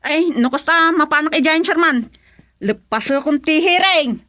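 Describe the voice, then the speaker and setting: A woman's voice: high-pitched, lively intonation, normal speed, a bit bright, no room sound. A woman with an Ilocano accent playing an aggrieved, complaining wife in a comedic radio drama. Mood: questioning. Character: aggrieved wife bickering at village hearing.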